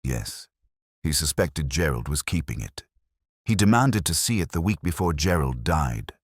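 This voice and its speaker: A man's voice: very deep voice, sing-song, moderate pace, husky, dry recording, studio quality, full character voice. A man voicing a tense, frightened, guarded widow in an audiobook detective story. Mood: frightened, defensive.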